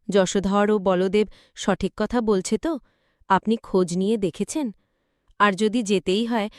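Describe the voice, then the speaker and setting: A woman's voice: mid-range voice, dry recording, studio quality, natural voice. A woman voicing a worried queen and protective mother in a cartoon story, questioning formally and pleadingly. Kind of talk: Bengali cartoon story acting.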